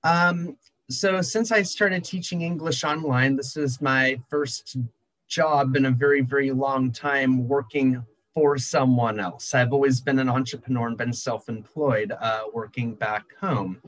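A man's voice: medium pitch, fairly even intonation, unhurried, fairly bright tone, no room sound, rough recording. A man in his thirties from California, speaking in an upbeat, friendly way on a video call. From California, USA. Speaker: English teacher and speaker. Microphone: video call, webcam.

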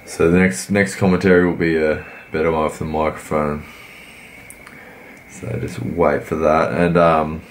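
A man, croaky from a cold, giving laid-back, rambling voice-over commentary on his workout. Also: a bass voice, little pitch movement, unhurried, slight background noise. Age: 20s. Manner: laid-back, rambling, many fillers and restarts. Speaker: fitness vlogger.

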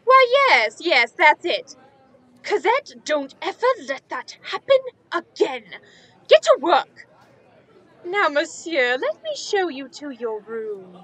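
A grasping, bullying female innkeeper, played by a woman in a radio play. Her voice is high and swings widely in pitch. She is irritable and always counting the money. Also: sing-song, takes it slowly, a bit bright, some chatter behind, heavily acted. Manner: scolding, fawning toward paying guests. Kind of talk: radio drama acting.

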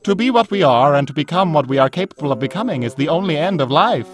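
A man's voice: on the high side, lively intonation, fast talker, faint music behind, natural voice. A man voicing a pompous, aging evil genius villain in a theatrical, grandiose comic dub style. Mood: melancholy, brooding.

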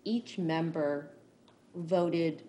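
A woman advising a board at a public meeting, explaining in a measured, hesitant way. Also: deep voice, steady tone, warm tone. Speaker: school official advising the board. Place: public meeting room, indoors. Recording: noisy meeting room recording.

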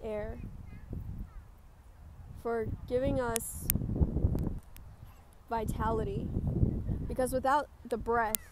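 A woman leading a group guided meditation, giving gentle, instructive cues. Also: fairly high pitch, steady tone, slow pace, long pauses. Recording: clear background noise, ambient sound.

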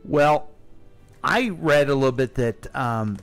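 An American man chats as a radio co-host in a medium-pitched voice. His style is loose, joking banter with repeated phrases, and music plays faintly behind him. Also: animated, slow pace, clean tone. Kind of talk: casual banter.

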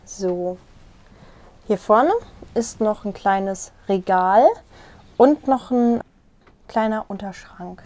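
A woman's voice: mid-range voice, takes it slowly, long pauses, darkish tone. A young woman casually showing viewers around a small caravan, explaining as she walks and points, recorded on a lapel mic. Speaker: vlogger giving a tour. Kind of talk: casual room tour.

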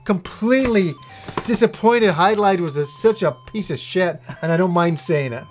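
A man in his thirties chatting casually as co-host, telling lively stories and swearing freely, his voice a bit worn. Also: high-pitched, moderate pace, faint music behind. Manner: animated storyteller, swears freely. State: losing his voice. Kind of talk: casual chat about retro games. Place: room lined with game shelves, indoors.

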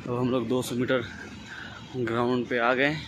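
A man's voice: medium pitch, completely flat delivery, unhurried. Young man giving a stadium tour. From India. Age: young adult. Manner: plain, descriptive, addresses viewers directly. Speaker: vlogger, athlete. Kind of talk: walking tour narration. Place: outdoor athletics stadium. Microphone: phone camera, handheld. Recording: outdoor phone audio with background noise.

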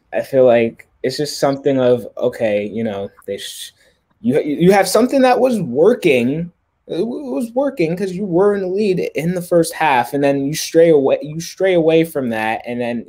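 A young man hosts a casual football chat over a webcam call. He talks in halting bursts, restarting his sentences as he passes questions to the others. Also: mid-range voice, dark tone. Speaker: host.

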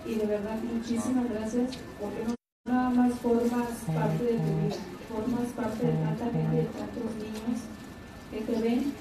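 A woman, a parent in the audience, thanking the speaker warmly and at length. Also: fairly high pitch, unhurried. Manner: grateful, effusive. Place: large auditorium. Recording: distant, echoey auditorium audio from a phone. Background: crowd ambience.

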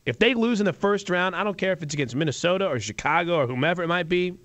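A man's voice: high-pitched, lively intonation, fast talker, a bit bright, dry recording. A man in his thirties hosting sports talk radio, giving emphatic, opinionated takes in conversational banter. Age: 30s.

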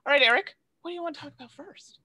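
A young woman hosting an online panel over a video call, chatty and quick to laugh. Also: high voice, sharp tone, no room sound. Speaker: panel host, content creator.

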